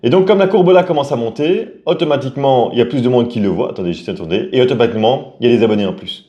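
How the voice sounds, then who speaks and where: A middle-aged man chatting casually with his family's viewers. He speaks at an ordinary pitch and explains things patiently, one step at a time, in a relaxed way. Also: rapid-fire, lo-fi sound. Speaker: family vlogger. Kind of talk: casual chat to camera.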